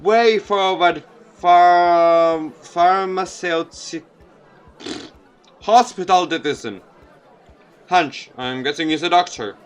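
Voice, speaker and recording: A man's voice: high-pitched, slow pace, pauses often, slight background noise. A man reading a visual novel aloud as a let's player, stumbling over words and adding casual asides over background music. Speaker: video game let's player.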